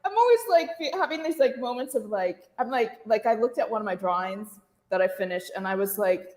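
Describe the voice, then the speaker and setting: A woman's voice: fairly high pitch, a little fast. Woman in an echoey, reverberant room, searching for words. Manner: animated, self-quoting, searching for words. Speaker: artist being interviewed. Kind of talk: casual, reflective conversation.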